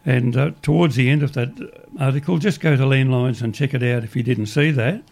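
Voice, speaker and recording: Australian male community radio host, gravelly and croaky, rambling, upbeat announcements. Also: medium pitch, a little fast, a little mellow. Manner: rambling, restarts often, upbeat.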